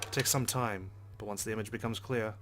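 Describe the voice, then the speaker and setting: A man's voice: on the low side, fairly even intonation, a little fast. A man reading a visual novel aloud as a let's play host, narrating expressively with casual asides. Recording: game music behind the voice.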